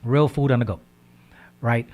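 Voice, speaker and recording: A man hosting a radio talk show, giving casual diet advice. He speaks with lively energy, repeats words, and keeps checking in with "right?". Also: mid-range voice, moderate pace. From Haitian background. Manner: animated, repeats words, asks "right?" often.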